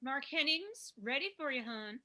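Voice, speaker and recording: A woman acts a kindly hospital worker in a drama table read. Her voice is high and bright, with a sweet, solicitous manner. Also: animated, moderate pace, exaggerated voice. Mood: sweet, attentive. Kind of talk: screenplay table read acting.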